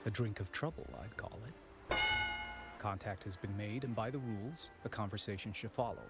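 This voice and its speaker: Low-voiced, guarded bartender played by an actor. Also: male voice, natural voice. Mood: wry, guarded. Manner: wry, deliberate, formal. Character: guarded male bartender informant, wry, smooth, quietly menacing. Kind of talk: video game voice acting. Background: game music.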